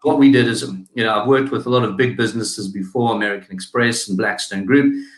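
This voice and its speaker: Brief: South African male guest, analytical, halting delivery, roomy echo. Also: fairly low pitch. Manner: analytical, halting with many restarts and fillers. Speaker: guest, digital marketing business owner. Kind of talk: casual interview talk.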